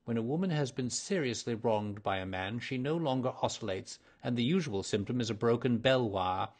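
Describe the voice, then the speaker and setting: 남자가 읽는 침착하고 정확한 남자 탐정, 차분히 추리를 설명하는 말투, 약간 허스키한 목소리. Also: male voice, mid-range voice, natural voice. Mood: lecturing. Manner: measured, explaining deductions. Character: male detective, cool, precise and lecturing. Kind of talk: audiobook character reading.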